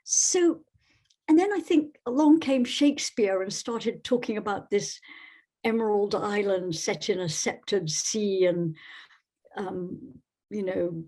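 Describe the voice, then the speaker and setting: Woman from Scotland, podcast guest. Slightly husky voice, thoughtful and halting delivery. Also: medium pitch, warm tone. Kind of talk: conversational interview answers.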